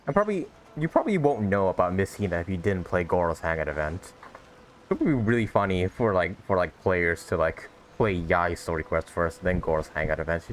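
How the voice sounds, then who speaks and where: A man's voice: low voice, expressive, a little fast, a little hiss or hum. A man giving casual, laid-back gameplay commentary with chuckling asides. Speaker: gaming video host.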